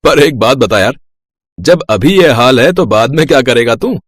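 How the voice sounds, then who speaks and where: A man's voice: medium pitch, expressive, normal speed, no echo, studio quality, voice pushed down, lightly acted. A man voicing a blunt, teasing young college friend in a moral story. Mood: teasing, questioning.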